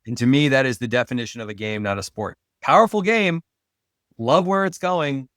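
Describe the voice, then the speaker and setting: A man's voice: medium pitch, brisk, fairly bright tone, dry recording, studio quality. A middle-aged man speaking casually as an interview guest, making his points emphatically and repeating phrases. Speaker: podcast guest, brand marketing expert.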